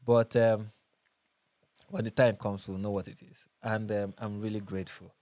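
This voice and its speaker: A man's voice: fairly low pitch, flat delivery, moderate pace, mellow, no echo. Nigerian man chatting on a livestream. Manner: chatty, repeats phrases, frequent restarts. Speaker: livestream host. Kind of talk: casual livestream chat reading comments.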